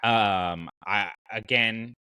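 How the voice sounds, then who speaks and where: Bright, low-voiced man podcasting. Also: very slow, smooth, no echo. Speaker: podcast host. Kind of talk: casual fan podcast discussion.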